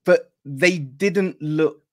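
A man's voice: a little high, very slow. A middle-aged or older man hosting a casual interview over a video call. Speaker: podcast host. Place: room at home, on a video call.

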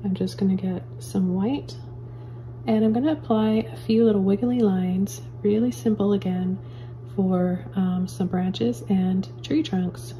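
A woman calmly talking viewers through painting step by step, as a painting teacher in her studio. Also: mid-range voice, measured pace, slight background noise, low-quality recording. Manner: calm, gentle step-by-step instructions. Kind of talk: real-time painting tutorial. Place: indoor studio at an easel.

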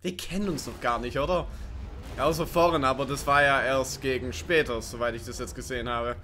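A man's voice: mid-range voice, a little fast, bright, forceful tone, slight background noise. A man giving casual, sarcastic let's play commentary with chuckling asides. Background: game music and car sounds.

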